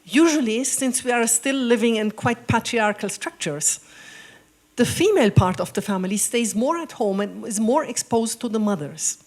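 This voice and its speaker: A woman speaking on an academic panel, explaining her points in a measured way. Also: fairly high pitch, lively intonation, darkish tone.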